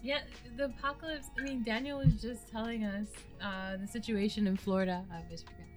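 A woman co-hosting a podcast in relaxed, informal conversation. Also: on the high side, a little fast, faint music behind. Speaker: podcast co-host. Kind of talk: casual chat.

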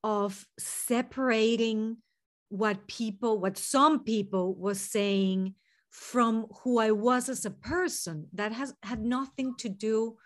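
A woman from Venezuela with a Spanish-tinged accent speaks in a slightly high voice. She is a therapist and guest on a podcast. She explains herself earnestly and reflectively, in long unfolding sentences. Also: steady tone, takes it slowly. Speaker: guest, licensed mental health therapist. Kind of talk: podcast interview.

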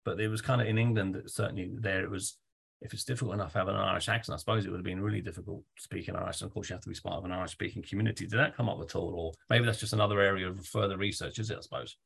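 A man's voice: deep voice, steady tone, rapid-fire, creaky, a bit bright, breathy edge. A man interviewing a guest in a loose, chatty way, swearing freely. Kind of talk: casual conversational interview.

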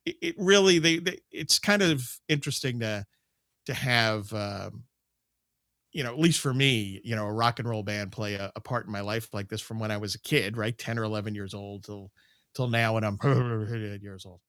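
Podcast guest: male, late 50s, bright tone, casual storyteller, dry recording. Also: lowish voice, moderate pace, no room sound. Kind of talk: casual reminiscing chat.

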